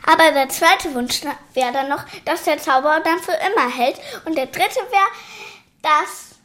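High-pitched, plain-spoken female voice. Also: measured pace, bright, forceful tone. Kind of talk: simple spoken answers.

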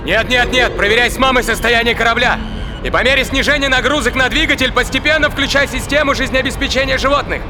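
A man's voice: extremely high pitch, quick pace, music in the background, a crowd in the background. An actor playing an alarmed, bossy spaceship man who tends animals, agitated and commanding in a science fiction audio drama. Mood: urgent, commanding.

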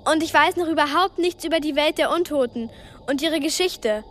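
A woman's voice: high voice, brisk, fairly bright tone. A woman playing a brave, earnest twelve-year-old girl in a radio play, frightened but resolute.

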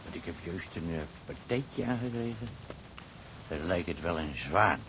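Casting: a fussy rural gravedigger and headstone seller, lowish voice, wheedling and repetitive, faint rumbling noise behind. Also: male voice, expressive, moderate pace. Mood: sales patter. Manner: chatty, hesitant, wheedling salesman, repetitive. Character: fussy, money-minded village gravedigger selling headstones.

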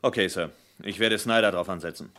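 An actor playing a dutiful, doubtful police lieutenant in a radio crime drama, with clipped replies. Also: male voice, sits low, fairly quick, bright, forceful tone. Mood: matter-of-fact.

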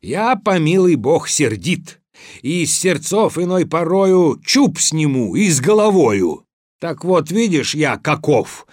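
An imperious old fairy-tale tsar, acted out by a man, boastful and quick to threaten. His voice rides high and swings dramatically as he barks his orders in storybook verse. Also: sing-song, no room sound, studio quality, full character voice. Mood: menacing. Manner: imperious, shouting outbursts. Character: hot-tempered, boastful, bearded old tsar, bullying and threatening.

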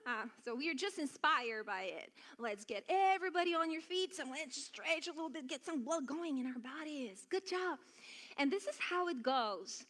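A woman's voice: sits high, animated, normal speed, fairly bright tone. A woman from Bulgaria leading a children's church lesson, encouraging and giving simple step-by-step instructions. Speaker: children's worship song and dance leader.

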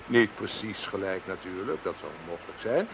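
A self-assured male scholar of the paranormal, lectures in a formal, measured way for a radio play. His voice sits fairly low and moves expressively, on a dull recording with heavy hiss. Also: lively intonation, gravelly. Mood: explaining. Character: erudite, self-assured doctor researching the paranormal. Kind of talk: Dutch audio drama acting. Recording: dull, noisy, hissy old radio recording.

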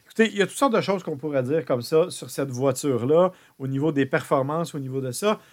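Man, car show radio host, slightly high voice, chatty with lots of "euh". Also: a little fast, a little mellow. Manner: chatty, frequent "euh" and repeated words. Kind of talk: car review radio talk.

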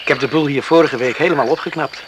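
A man's voice: on the high side, fast, very noisy, lightly acted. An actor playing an eager, caring young countryman in a Dutch radio drama, speaking earnestly and solicitously. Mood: tender, caring.

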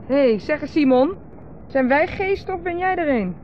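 Mocking wife, muffled noisy radio play. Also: female voice, sits high, expressive, normal speed. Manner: teasing, sardonic. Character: Paula, mocking, blunt, provocative wife. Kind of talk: 1970s Dutch radio drama acting. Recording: dull, noisy 1970s radio recording.

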